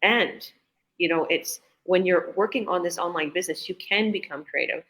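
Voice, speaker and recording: A woman's voice: lowish voice, normal speed, fairly bright tone. A woman, an online business owner, chatting enthusiastically on a group video call with many restarts.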